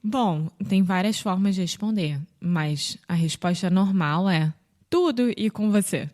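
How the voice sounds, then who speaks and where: A woman with a Rio de Janeiro accent, co-hosting a language podcast and patiently explaining everyday greetings, acting out examples with bright jumps in pitch. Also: unhurried. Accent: Rio de Janeiro Portuguese. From Brazil. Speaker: podcast co-host teaching Portuguese. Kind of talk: casual teaching chat.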